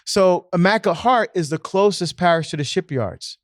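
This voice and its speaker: A man's voice: sits high, lively intonation, normal speed, no room sound, studio quality. A man, a parish priest, talking in a casual podcast discussion and telling stories with frequent restarts. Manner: storytelling, frequent restarts and repeated words.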